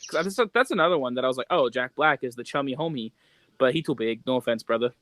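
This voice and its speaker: A man's voice: mid-range voice, expressive, fast talker, fairly bright tone. A man co-hosting a podcast, talking in an informal, slangy way. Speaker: podcast co-host. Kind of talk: casual chat.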